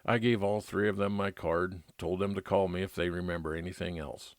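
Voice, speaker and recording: A very low male voice tells a private eye's story in the first person. The narration is scripted old-time radio drama, recorded close and dry. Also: steady tone, a little fast, dry recording. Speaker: narrator playing a private investigator.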